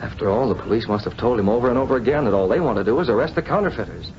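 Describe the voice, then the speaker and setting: An actor playing an authoritative, calmly probing boss investigator in an old radio drama, with crisp questioning. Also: male voice, mid-range voice, rapid-fire, lightly acted. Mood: reasoning. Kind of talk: 1930s-40s radio drama acting. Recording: muffled, noisy vintage radio recording.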